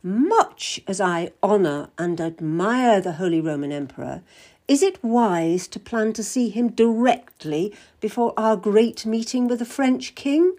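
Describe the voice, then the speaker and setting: A woman reading an audiobook voices a pompous, patronizing older male cardinal in a smooth, condescending, deliberate way. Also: medium pitch, animated, darkish tone. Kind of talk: audiobook character voice.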